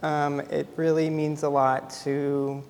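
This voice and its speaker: Soft-spoken young man, hall reverb. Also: fairly high pitch, completely flat delivery, slow, vocal fry, slight background noise. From Idaho, US. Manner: soft-spoken, many ums. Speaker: photographer giving an artist talk. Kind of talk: informal gallery talk. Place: gallery.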